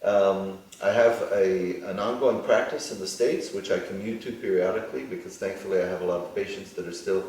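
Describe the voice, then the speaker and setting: A very deep-voiced middle-aged man whose first language is Hebrew talks through his answers in a measured way, pausing on ums and uhs. He sounds set well back from the mic in a strongly echoing studio. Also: steady tone, a little mellow. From Israel. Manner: deliberate, many ums and uhs. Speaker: plastic surgeon, interview guest. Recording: echoey studio, mic far off.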